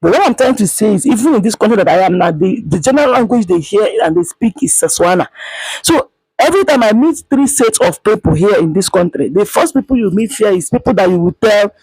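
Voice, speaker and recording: A woman with a Nigerian accent delivers a heated monologue to her viewers, hammering her points home through repetition. Her voice is breathy and grainy, and she holds it low and quiet because she is outside. The recording is close and dry, with little room sound. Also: expressive, a little fast, dry recording, poor audio. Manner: emphatic, repetitive, scolding; speaking quietly. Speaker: vlogger. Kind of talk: impassioned monologue to camera. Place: outdoors.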